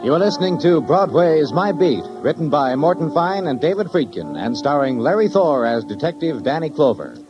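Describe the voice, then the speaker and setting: A man's voice: fairly high pitch, very animated, normal speed, few pauses. A man announcing in scripted 1950s network radio style. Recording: dull old radio broadcast, faint music.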